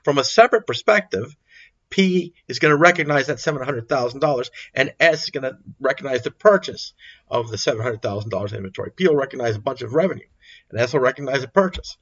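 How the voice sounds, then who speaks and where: A man's voice: medium pitch, expressive, quick pace, a bit bright, dull audio. A man giving an informal explanatory lecture as an accounting instructor, repeating and rephrasing his points for emphasis. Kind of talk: explanatory lecture over a worked example.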